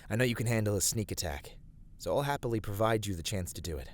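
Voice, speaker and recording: A man playing a steady, righteous fantasy adventurer in an ASMR roleplay, speaking to a companion in measured, slightly formal, encouraging words. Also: fairly low pitch, a little hiss or hum. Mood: calm, trusting. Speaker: voice actor in a roleplay. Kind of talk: scripted ASMR fantasy roleplay addressed to the listener.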